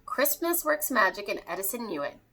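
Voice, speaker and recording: A woman reading a rhyming children's story aloud in an animated, theatrical way. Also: mid-range voice, a little fast. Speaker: teacher reading a picture book aloud to children.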